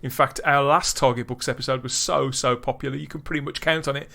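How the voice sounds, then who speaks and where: Bright, upbeat male podcast host. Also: mid-range voice, steady tone, creaky. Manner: upbeat, theatrical links with quick laughs. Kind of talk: casual podcast chat.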